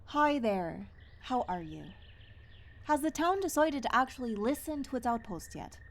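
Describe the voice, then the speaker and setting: A woman playing a brisk, wry, guarded young outcast guide in a fantasy audio drama. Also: fairly high pitch, expressive. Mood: insistent. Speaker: voice actor. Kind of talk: fantasy audio roleplay acting.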